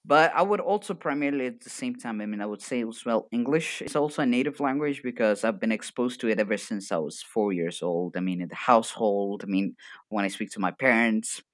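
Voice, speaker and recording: A man whose first language is Arabic, an energetic guest chatting on a live podcast and laughing often. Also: on the low side, fairly quick, dry recording, studio quality. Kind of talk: casual live-stream chat.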